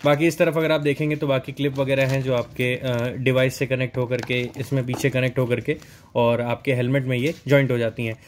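A man's voice: mid-range voice, little pitch movement, brisk. A young man doing a casual, explanatory unboxing narration, mixing English words into his speech. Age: young adult. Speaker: product reviewer at a riding gear store. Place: indoors in a helmet and riding gear store. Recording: noticeable background noise, store ambience.

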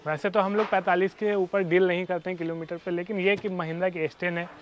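A man, a used-car dealer answering questions and quoting prices in measured, formal sales phrasing. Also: sits high, flat delivery, dull audio, a little hiss or hum. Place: outdoor used-car lot.

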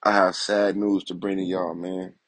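A young man talks through the news in a casual, streetwise vlog style, tagging "you feel me" onto nearly everything he says. His voice sits a little low and comes across husky. The recording is dry and close, with no room sound around it. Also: little pitch movement, moderate pace, a bit bright, no echo. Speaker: news commentary host.

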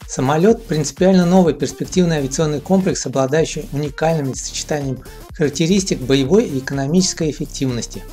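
Male narrator, slightly high voice. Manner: steady, measured reading of long technical lists. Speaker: narrator of expo video report. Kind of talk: scripted voice-over narration. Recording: voice-over with faint background music.

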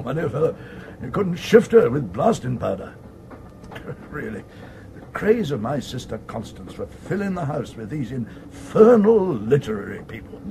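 An actor playing an absent-minded, irritable old earl in a radio comedy, halting and stammering, with an upper-class English accent. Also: male voice, fairly high pitch, creaky, mellow, full character voice. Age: older. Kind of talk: 1980s radio comedy acting. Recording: noisy old radio drama recording.

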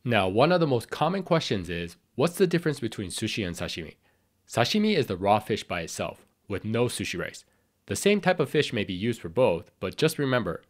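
A man with a slightly raspy voice from a cold, explaining sushi basics as the host of a scripted cooking tutorial. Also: fairly low pitch. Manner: clear, explanatory, friendly instruction. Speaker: sushi chef and channel host.

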